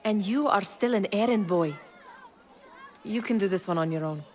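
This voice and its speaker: A woman's voice: medium pitch, moderate pace, background noise, natural voice. A woman playing a proud, sardonic young thief in a video game, speaking in a pointed, scolding manner. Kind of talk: dramatic video game acting.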